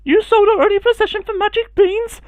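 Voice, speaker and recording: A man voicing an anxious, scolding mother in a storybook, in an emotional, exclaiming falsetto. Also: fairly even intonation, a little fast, dry recording, muffled recording. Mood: shocked, angry. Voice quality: high falsetto.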